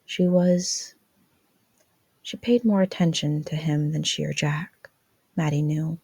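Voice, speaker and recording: A woman's voice: deep voice, steady tone, slow, gravelly, darkish tone, slightly rough, no room sound. A woman reading fan fiction aloud as scripted, dramatic story narration.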